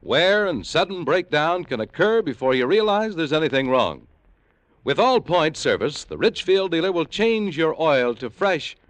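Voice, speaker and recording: American guy doing an old radio ad, higher voice, really animated. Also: no echo. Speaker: commercial announcer. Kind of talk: scripted old-time radio advertisement read.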